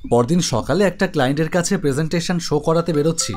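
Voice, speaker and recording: A man's voice: a little high, fast, a little mellow, soft background music. Young man narrating a cartoon horror story. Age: young adult. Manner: conversational, reflective. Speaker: first-person narrator. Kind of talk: scripted storytelling.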